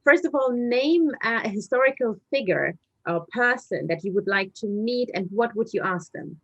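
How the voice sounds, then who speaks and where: A woman's voice: fairly high pitch, lively intonation, moderate pace, a bit bright, dull audio. A woman acting as interviewer, putting questions to a guest.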